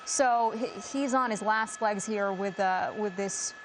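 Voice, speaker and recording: A woman news anchor giving unscripted live commentary in halting, hesitant phrases. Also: medium pitch, noisy background.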